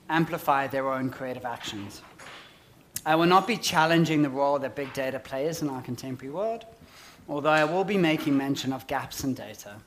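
A man in his forties with a South African accent, giving a prepared, measured public talk on design. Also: fairly high pitch, fairly bright tone, a little hiss or hum.

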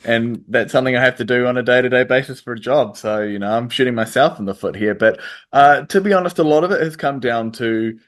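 A man, answering interview questions in a hesitant way with many pauses and restarts. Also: mid-range voice. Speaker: interview guest. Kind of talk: radio interview.

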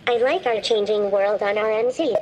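A woman's voice: mid-range voice, moderate pace, darkish tone, slight background noise, natural voice. A synthetic female voice of a small cheerful humanoid robot, giving short, playful programmed replies. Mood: cheerful, obliging.

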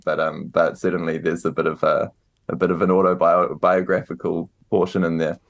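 A young man with a New Zealand accent talks in a low, deep register. He answers in a loose, conversational way, circling back with ums and restarted phrases as he thinks. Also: flat delivery, normal speed. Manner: hesitant, many ums and restarts. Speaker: author and guest. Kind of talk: casual interview answers.

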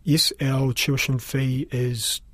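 A man with a New Zealand accent explaining things in a measured, matter-of-fact way, like a school principal in an interview. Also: mid-range voice, little pitch movement, fairly bright tone, dry recording.

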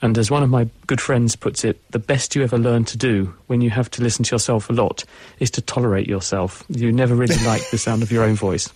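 A man's voice: medium pitch, fairly even intonation, brisk, a little mellow, slightly rough. A man on a radio call-in show, patiently explaining science to callers in a studio. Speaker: science guest expert.

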